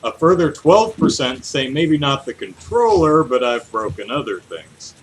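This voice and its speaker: A man in his thirties with a mid-pitched voice chats casually as a podcast host. His delivery is animated and self-deprecating. Some background noise sits behind him. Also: unhurried, poor audio. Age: 30s. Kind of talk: casual chat. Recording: clear steady background noise.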